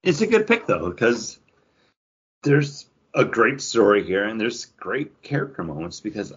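A man with a lowish, husky voice talks through a book in a casual, informal way, like a podcast co-host. The recording is somewhat dull and lo-fi. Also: very animated, measured pace. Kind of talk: casual book discussion chat.

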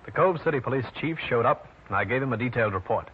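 An actor playing a dry, self-assured private detective in an old-time radio detective drama. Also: male voice, mid-range voice, lively intonation, fast talker, breathy edge, soft background music. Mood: wry narrating. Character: wry, hard-boiled male New York private detective. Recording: dull, noisy vintage radio recording.

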